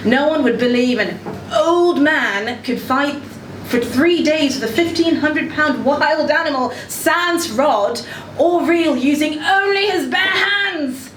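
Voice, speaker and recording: A woman reading as a condescending, blunt book editor in a satirical letter, with an arch, deliberate delivery and pointed pauses. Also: high-pitched, fairly bright tone, voice pushed up. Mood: patronizing, wry. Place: indoor social venue at live reading event. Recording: roomy, noisy live venue, distant mic.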